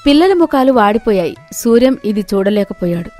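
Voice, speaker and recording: A woman's voice: on the high side, very animated, a little fast, darkish tone, faint music behind. A woman reading scripted narration for a moral story as its narrator.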